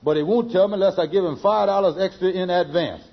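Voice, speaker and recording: High-voiced blustering schemer, old radio sitcom. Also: male voice, quick pace, vocal fry. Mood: scheming. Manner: blustery, folksy nonstandard grammar. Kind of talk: 1940s-50s radio sitcom acting. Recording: muffled vintage radio recording.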